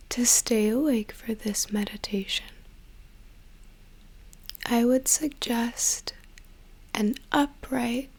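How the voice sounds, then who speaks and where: A young woman whispering a slow, soothing guided meditation as an ASMR creator, with a soft breathy voice. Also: a little high.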